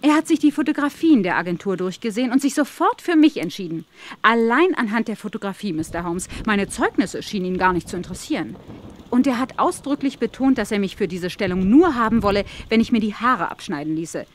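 A woman's voice: mid-range voice, animated, fairly quick, slight background noise, lightly acted. An actress playing an uneasy, earnest and polite young woman in a German radio play. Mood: anxious, earnest. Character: anxious, polite young woman seeking a position.

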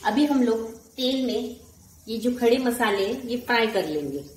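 A woman in her forties narrating a home cooking recipe step by step, calmly listing ingredients one at a time. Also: fairly high pitch, normal speed. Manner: calm, lists ingredients one by one. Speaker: cooking channel host. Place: home kitchen, echoey. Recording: echoey room with faint kitchen noise.

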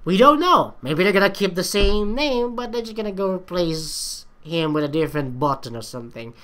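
Bright-voiced woman reacting casually. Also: medium pitch, expressive, normal speed. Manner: rambling, repeats phrases, profane. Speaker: reaction video host.

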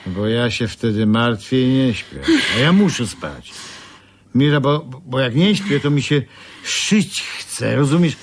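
An actor playing a proud, stubborn older tailor in a radio drama, halting, grumbling and emphatic. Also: male voice, mid-range voice. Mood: anxious, halting. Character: proud, stubborn aging master bra tailor, grumpy brother.

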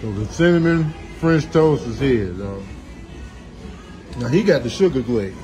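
Animated man riffing in casual slang. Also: medium pitch, measured pace, music in the background, rough recording. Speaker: comedian doing voiceovers and food vlogs. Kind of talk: comedic casual commentary.

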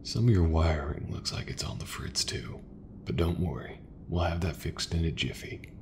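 A man playing an easygoing, folksy sci-fi mechanic in a soft, breathy, reassuring ASMR roleplay voice. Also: sits low, noisy background. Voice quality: gravelly, breathy, half-whispered. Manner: slow, unhurried, reassuring, colloquial. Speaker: voice actor.

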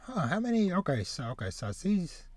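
A man in his thirties hosting a casual anime podcast, speaking haltingly and often repeating words as he goes. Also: high voice, very animated, a little mellow, no room sound. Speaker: podcast host. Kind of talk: casual chat about anime. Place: small room with bookshelves, indoors, on a video call.

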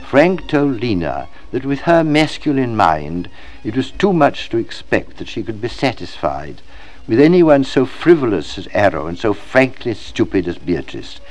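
Male literary narrator, mid-pitched, animated and expressive delivery, measured dramatic phrasing. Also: faint music behind, low-quality recording. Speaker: audiobook reader. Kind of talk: scripted literary narration, expressive storybook reading.

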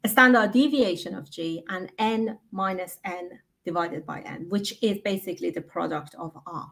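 A woman's voice: lowish voice, moderate pace, vocal fry, a bit bright. A middle-aged woman gives an academic keynote over a video call, explaining step by step and asking for the next slide. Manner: explanatory, stops and restarts, asks for the next slide. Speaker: keynote speaker. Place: home room indoors. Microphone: video call laptop mic.

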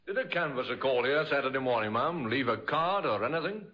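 An actor playing a brisk, methodical police inspector in a vintage radio drama, businesslike and authoritative. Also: male voice, mid-range voice, lively intonation, highs cut off, lightly acted. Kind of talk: 1950s radio drama acting.

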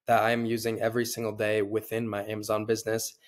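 A young man with a cold and a slightly hoarse voice, casually walking viewers through a screen-share tutorial, with frequent fillers. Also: lowish voice, monotone, quick pace. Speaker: online seller and tutorial host.

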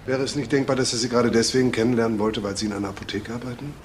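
A shrewd police chief in a crime radio play, acted by a man, speaking at a middling pitch over clear background noise. He is commanding and probing, with a dry sardonic edge. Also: level tone, very fast, close to an everyday voice. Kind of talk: German crime radio drama acting.

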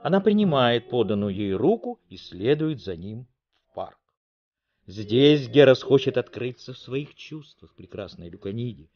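A man's voice: mid-range voice, big pitch swings, moderate pace, lo-fi sound. A man narrating a story in a dramatic, theatrical, declamatory way.

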